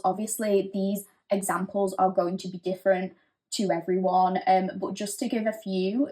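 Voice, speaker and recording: A woman giving a pick-a-card tarot reading in a gentle, reassuring, chatty way, with frequent fillers. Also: mid-range voice. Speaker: tarot reader.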